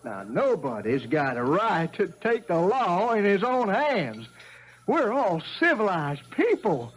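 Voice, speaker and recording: A man's voice: sits high, huge pitch swings, slow pace, husky, exaggerated voice, low-quality recording. An actor playing a sly, self-righteous American country townsman in a 1970s radio drama, speaking in an oratorical way. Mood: righteous, controlling.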